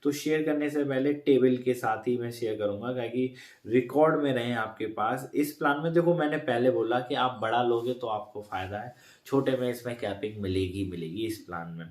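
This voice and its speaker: A man's voice: medium pitch, moderate pace, echoey room. A man casually explaining an insurance plan to his viewers, repeating points and correcting himself as he goes. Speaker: insurance advisor vlogger.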